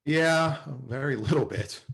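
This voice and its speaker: A man's voice: fairly high pitch, moderate pace, gravelly. A man playing a lovesick, wisecracking, anxiously hopeful sitcom character in a table read over a video call. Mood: awkward joking.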